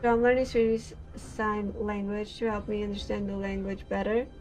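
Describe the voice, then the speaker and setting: A woman from Portugal, a gaming creator reading game text aloud and chatting in a halting way, full of fillers and restarts. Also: medium pitch, level tone, a little mellow, soft background music, poor audio.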